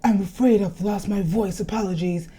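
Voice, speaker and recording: A woman voicing a polite male forest guardian from a game, speaking loudly and courteously. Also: medium pitch, steady tone, normal speed, breathy edge, close to an everyday voice. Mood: courtly.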